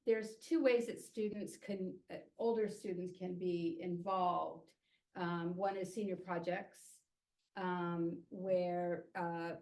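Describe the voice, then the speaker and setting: A school board trustee, a woman, speaking up at a community meeting with many ums and pauses. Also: on the low side, darkish tone, rough recording.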